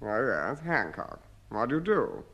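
An actor playing a brisk, dismissive English army captain in a 1950s British radio sitcom, with a clipped, officious manner. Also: male voice, medium pitch, sing-song, a bit bright, slightly rough, full character voice. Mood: brisk, puzzled. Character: brisk, dismissive male army captain running forces entertainment. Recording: noisy old radio recording, studio audience.

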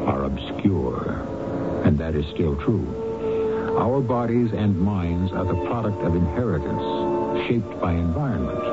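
A man hosting a radio drama, narrating suspense in a deliberate, solemn, theatrical manner. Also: low voice. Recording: muffled old radio broadcast with music.

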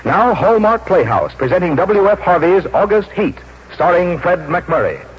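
A man reading a 1940s radio commercial as announcer, with a polished, deliberate sales delivery. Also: high-pitched, very animated, background noise. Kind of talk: 1940s radio advertising read. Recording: muffled 1940s radio recording.

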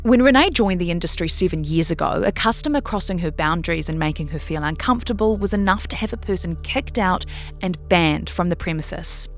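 A woman's voice: on the low side, lively intonation, fairly quick. New woman narrating over music. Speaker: narrator reading a long-form investigative article. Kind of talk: scripted narration.

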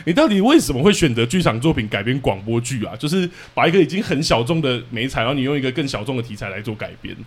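A man's voice: medium pitch, sing-song, fast talker, hardly any pauses, husky. A man with a Taiwanese Mandarin accent hosting a casual podcast interview, with a joking, self-deprecating manner. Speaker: podcast host.

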